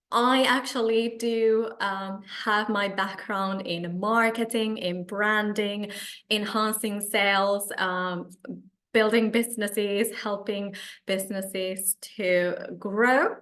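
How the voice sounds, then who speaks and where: Female coach, Finnish accent, unhurried delivery, presenting a live webinar to participants. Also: medium pitch, steady tone, a bit bright. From Finland. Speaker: coach hosting an online meetup.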